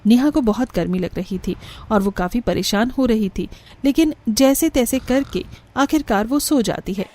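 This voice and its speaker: A woman narrating an animated story from a script, with music underneath. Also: a little high, moderate pace.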